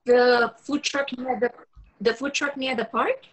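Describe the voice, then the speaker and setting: A woman's voice: a little high, steady tone, measured pace, rough recording. A wary young woman on a first date played by an actress at a table read, speaking in natural, everyday dialogue. She sounds like she is on a video call from a room at home. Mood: awkward, playful. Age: young adult. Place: small room at home, indoors.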